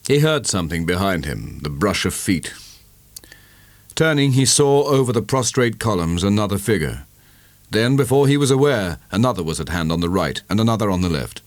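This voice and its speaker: A man narrating a literary audiobook in a measured, dramatic storytelling manner. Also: low voice, dry recording.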